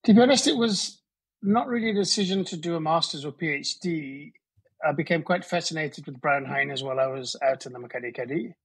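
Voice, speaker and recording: A man's voice: on the high side, lively intonation, fairly quick, breathy edge, low-quality recording. A middle-aged man with a Southern African English accent, a conservation biologist speaking modestly and thoughtfully, calling in remotely. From Zambia and Zimbabwe. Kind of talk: casual interview answers.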